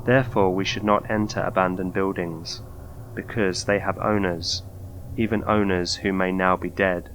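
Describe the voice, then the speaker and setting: A man with a very low voice narrates a scripted ghost story. His delivery is measured and formal, like a documentary storyteller. There is clearly audible noise behind his voice. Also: steady tone, a bit bright, poor audio.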